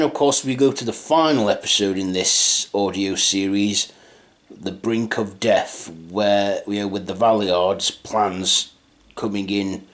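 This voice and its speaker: A man giving an unscripted fan review in a rambling, hesitant way, often trailing off and starting again. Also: lowish voice. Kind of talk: unscripted audio drama review.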